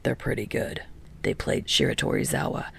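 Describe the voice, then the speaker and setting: A woman voicing a gruff, terse, intense teenage boy in an audiobook, in a breathy, hushed growl. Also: moderate pace, close to an everyday voice. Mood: matter-of-fact. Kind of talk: audiobook character voice.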